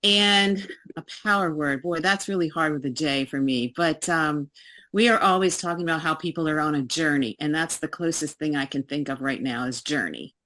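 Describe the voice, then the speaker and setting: A friendly woman from Maryland introduces herself as a health coach in a slightly low voice. She explains in a relaxed way, with some ums along the way. It sounds like a casual video call. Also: normal speed.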